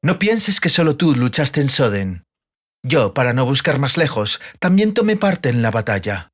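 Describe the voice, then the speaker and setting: A man's voice: mid-range voice, big pitch swings, normal speed. A man voicing a proud male elf in a fantasy audiobook, cold, formal and reproving. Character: cool, proud, dignified slender male elf.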